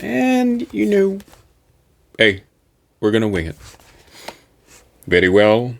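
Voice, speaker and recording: A man reading a science fiction novel aloud as host, with a theatrical, deliberate delivery. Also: mid-range voice, huge pitch swings, vocal fry.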